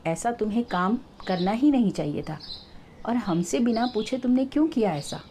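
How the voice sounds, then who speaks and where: A woman's voice: on the high side, lively intonation, moderate pace, dark tone, slight background noise, lightly acted. A woman voicing a stern, reproachful father and brothers in a told story, questioning and scolding.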